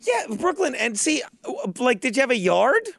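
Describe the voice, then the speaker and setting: A man's voice: very high voice, huge pitch swings, moderate pace, vocal fry, fairly bright tone, dry recording, clean studio sound. A man from South Jersey hosting a casual podcast, teasing and joking crudely with his guests. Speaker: podcast host.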